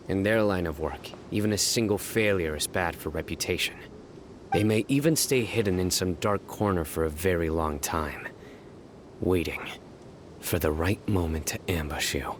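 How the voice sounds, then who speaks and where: Brief: male actor as a grave, deep-voiced game lawman, steady and quiet, over clear background noise. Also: natural voice. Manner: calm, low and measured. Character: stern young male desert lawman. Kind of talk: game cutscene dialogue.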